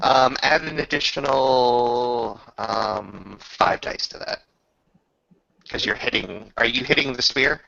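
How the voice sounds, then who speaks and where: A man's voice: medium pitch, takes it slowly, vocal fry, pushed and bright, lo-fi sound. A man casually running a tabletop roleplaying game as game master, giving rules calls and playing up the dramatic moments.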